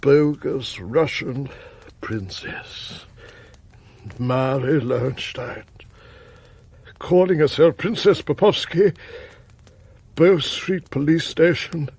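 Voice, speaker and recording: An actor playing a furtive, grumpy English husband in a radio comedy, with a gravelly voice and a clipped, blustering manner. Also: male voice, a little high, slow pace, long pauses, a little mellow, exaggerated voice. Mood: secretive, appalled. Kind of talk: radio comedy drama acting.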